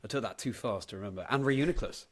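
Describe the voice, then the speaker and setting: Low-voiced, encouraging male podcast host. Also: fast. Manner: encouraging, affirming. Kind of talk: casual interview chat.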